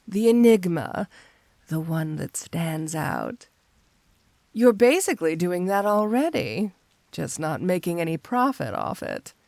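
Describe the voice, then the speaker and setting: A woman playing a flirty, seductive cat-burglar supervillain in an intimate ASMR roleplay, coaxing and teasing in an unhurried way. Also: on the low side, a little mellow, no room sound, exaggerated voice.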